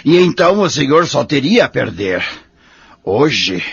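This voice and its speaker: Man playing slow, gravelly, wise old Brazilian man. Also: mid-range voice, very animated, no room sound, exaggerated voice. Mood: philosophical. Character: wise, patient, philosophical old man, gently admonishing friend. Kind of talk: audio drama dialogue, measured moralizing. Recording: muffled recording with faint noise.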